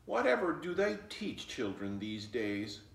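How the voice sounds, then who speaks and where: A man puts on a sly gentleman fox from a children's storybook. He speaks slowly and formally, with suave vanity. The sound is roomy and away from the mic. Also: mid-range voice, big pitch swings, gravelly. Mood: disgusted, haughty. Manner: suave, haughty, formal diction. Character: vain, sly, genteel male fox. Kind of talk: children's storybook read-aloud. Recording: roomy echo, distant mic.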